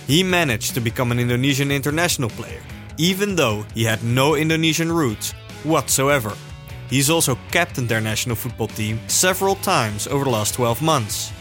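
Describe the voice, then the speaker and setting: A man of middle pitch hosts a podcast. He reads a scripted intro and chats in a relaxed, friendly way, with soft guitar music behind some of it. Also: moderate pace. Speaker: podcast host. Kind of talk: scripted narration and casual interview.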